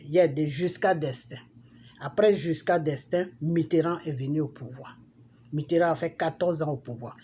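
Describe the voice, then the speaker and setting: Scolding Ivorian woman, noisy recording. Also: low voice. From Ivory Coast. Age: middle-aged. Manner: forceful, scolding, repeats phrases for emphasis. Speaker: political commentator. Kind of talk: impassioned political monologue addressed to public figures. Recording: noisy amateur recording with background ambience.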